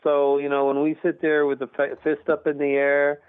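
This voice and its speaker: A man's voice: a little high, monotone, moderate pace, clear, resonant tone, dry recording. Earnest middle-aged man on a tinny phone line. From Texas. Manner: earnest, emphatic and rambling. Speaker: caller. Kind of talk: call-in radio conversation. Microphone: telephone.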